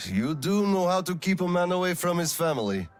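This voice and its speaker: An actor playing a weary, conscientious middle-aged colonel in a game drama, speaking in a reflective, measured and slightly hesitant way. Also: male voice, sits high, sing-song, a bit bright, soft background music. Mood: friendly, tired. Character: weary, conscientious male colonel and lone father. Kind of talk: dramatic video game cutscene acting.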